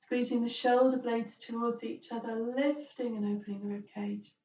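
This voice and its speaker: A woman teaching yoga relaxation, guiding the listener in a gentle, reassuring and permissive way. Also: on the high side, steady tone, moderate pace. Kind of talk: guided relaxation instruction. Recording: roomy, distant, dull, faint noise.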